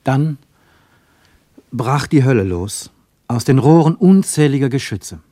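Male narrator for literary radio drama: dark, relaxed tone, deliberate phrasing, dry studio sound. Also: mid-range voice, dry recording.

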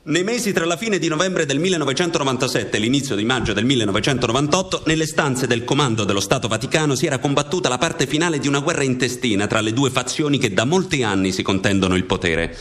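Male narrator for investigative radio theatre, medium pitch, animated, emphatic, formal. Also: fast, few pauses. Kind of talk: scripted documentary radio drama narration.

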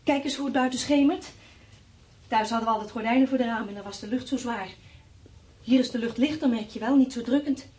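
A woman's voice: on the high side, brisk, a little mellow, lightly acted. An actress playing a bitter, frightened woman survivor in a radio play, brooding and sarcastic, swinging between dreamy and cutting. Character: bitter, frightened, mocking woman surviving a catastrophe with her partner. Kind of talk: naturalistic radio play acting. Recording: radio play with background ambience.

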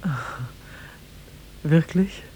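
Regal secret queen posing as king, measured formal delivery, old radio drama w faint rumble. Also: dark tone, rough recording. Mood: tender, wistful. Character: young woman ruling disguised as a king, regal, secretly tender. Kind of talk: 1950s German radio play acting.

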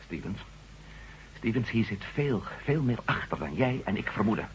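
An actor playing a headstrong, indignant reporter and former astronaut in a radio drama, emphatic and sardonic, with a husky voice. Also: male voice, on the low side, sing-song, normal speed, slight background noise. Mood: grave, insistent. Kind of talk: Dutch radio drama acting. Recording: dull, lo-fi old radio recording.

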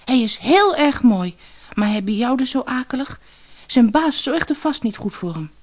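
A woman's voice: on the high side, big pitch swings, a little slow, pitched above the performer's own voice. A woman voicing a naive, kind-hearted, eager boy in a fairy tale, earnest and a little bashful. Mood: shy.

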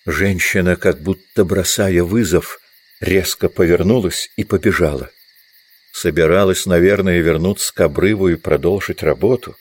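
Deep-voiced male audiobook narrator. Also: moderate pace, slightly rough. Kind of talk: scripted literary narration.

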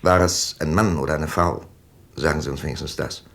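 An actor playing a stern, upright police commissioner, brisk and probing, in a radio play. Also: male voice, very deep voice, fairly even intonation, fairly bright tone, heavily acted. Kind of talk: German radio play dialogue.

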